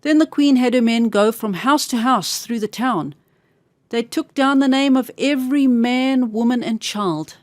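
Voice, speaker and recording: A woman reading a scripted fairy tale for children as a storyteller, expressive and carefully enunciated. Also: fairly high pitch, unhurried, no echo, studio quality.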